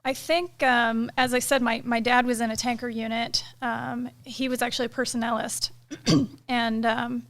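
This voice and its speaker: A middle-aged woman, a military pilot, talking through her career as a guest in a casual interview, relaxed and thoughtful, with many fillers. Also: on the high side, moderate pace. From United States. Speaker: KC-135 pilot and director of inspections, guest.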